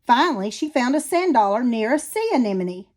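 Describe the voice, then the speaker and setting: High-voiced woman reading a children's story. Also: a little fast. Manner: expressive. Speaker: storybook reader. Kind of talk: children's read-aloud narration.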